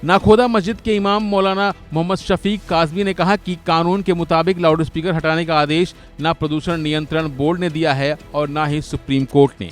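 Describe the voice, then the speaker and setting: A man's voice: fairly high pitch, steady tone, fairly quick, hardly any pauses. A man reading a scripted news voice-over as the narrator of a report. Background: music bed.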